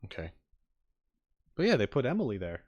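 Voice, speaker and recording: A man's voice: medium pitch, huge pitch swings, brisk, darkish tone, highs cut off. A man casually chatting and reacting on a livestream, with easygoing asides muttered to his viewers. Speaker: streamer reacting to game videos.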